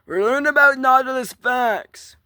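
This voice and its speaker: A goofy prehistoric nautilus, voiced by a man for a cartoon-style skit. It talks in an extremely high, bright voice, drawling slowly and boasting in a silly way. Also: dry recording, clean studio sound, heavily acted. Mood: cheerful.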